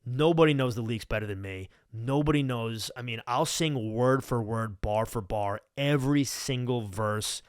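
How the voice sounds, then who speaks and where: A man's voice: mid-range voice, normal speed, few pauses, clear, resonant tone, no room sound, clean studio sound. A young man hosting a casual podcast, rambling about music in a slangy, profane, hyped-up fan's way. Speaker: podcast host. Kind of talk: casual unscripted music chat. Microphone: close podcast microphone.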